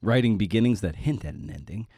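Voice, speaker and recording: A man with a fairly low voice, recorded close and dry, introduces his podcast in a casual monologue. He is wry and self-deprecating, dropping in playful asides and repeating phrases for emphasis. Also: expressive, quick pace, dark tone, no echo. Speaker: podcast host.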